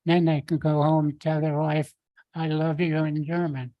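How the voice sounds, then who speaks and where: An older man speaking at a council meeting's public comment, halting and rambling with many fillers. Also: fairly high pitch, level tone, dry recording, highs cut off.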